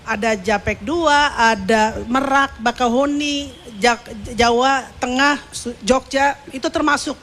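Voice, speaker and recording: A woman giving a semi-formal, unscripted ceremonial speech as a media executive, halting as she searches for words with pauses and repeats. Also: sits high, a bit bright, a little hiss or hum.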